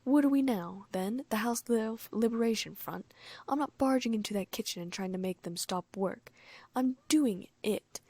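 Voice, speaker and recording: A woman's voice: a little high, normal speed, a little mellow, dry recording, voice pushed up. A woman reading a story aloud and voicing a sarcastic, sceptical teenage boy wizard.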